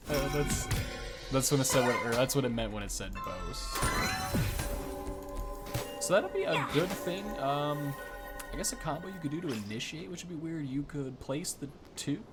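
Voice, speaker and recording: A young man commentates on a video game in a casual, unscripted way. His voice is medium-pitched and he thinks out loud informally, with music playing clearly behind him. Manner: informal, thinking aloud as he tests. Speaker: gaming video host.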